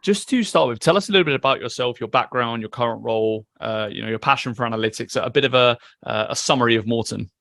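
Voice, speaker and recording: A man hosting a podcast interview, asking questions in a quick, casual way with fillers. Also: medium pitch, vocal fry, fairly bright tone, no room sound, studio quality. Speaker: podcast host.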